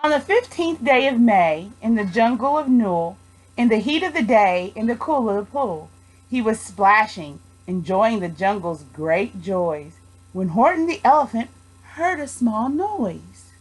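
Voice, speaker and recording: A young woman reading a children's storybook aloud in an expressive storytelling style. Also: a little high, measured pace, slightly rough, low-quality recording. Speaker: storybook reader, education student.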